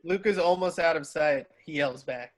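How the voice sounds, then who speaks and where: A man's voice: a little high, normal speed. A man reading stage directions as the narrator of an amateur screenplay table read.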